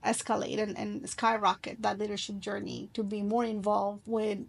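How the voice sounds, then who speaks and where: A woman's voice: medium pitch, little pitch movement, normal speed, creaky, slightly rough. Earnest woman from Colombia reflecting. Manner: earnest, reflective, with frequent restarts. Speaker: guest. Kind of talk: podcast interview storytelling.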